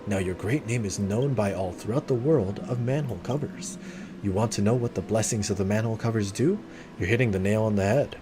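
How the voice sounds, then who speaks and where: A man's voice: on the low side, fairly quick, background noise. A man commentating casually on a game livestream, chatty and thinking aloud, with dry joking remarks. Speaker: game streamer. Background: game music.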